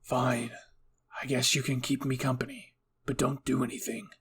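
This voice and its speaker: A man's voice: medium pitch, level tone, normal speed, gravelly, a bit bright, breathy edge, exaggerated voice. A man voicing a stern, grumpy military captain with curt, cold replies in an audio fan fiction story.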